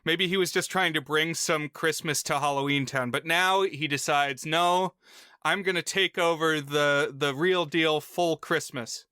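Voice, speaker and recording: A man's voice: a little high, fairly even intonation, unhurried, bright, forceful tone, smooth, dry recording. A man co-hosting a film podcast, recapping the plot with wry, dry humor. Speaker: podcast co-host. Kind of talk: casual chat, recapping a film.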